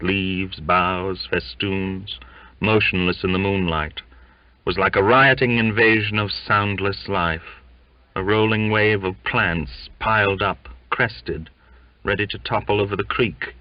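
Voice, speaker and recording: Deep-voiced man narrating a literary audiobook. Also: no room sound. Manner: measured, expressive storytelling. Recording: dull, muffled lo-fi audio.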